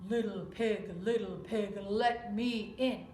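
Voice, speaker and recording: A woman voicing a menacing big bad male wolf in a bedtime story, with loud, slow, drawn-out threats. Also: mid-range voice, fairly even intonation, reverberant, slight background noise, lightly acted. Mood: booming, demanding.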